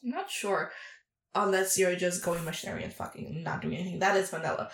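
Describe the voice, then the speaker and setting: A woman's voice: on the low side, fairly even intonation, fairly quick. A woman as an interview guest, chatting candidly and frankly, hesitating often and leaning on fillers. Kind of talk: candid casual chat. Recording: roomy echo.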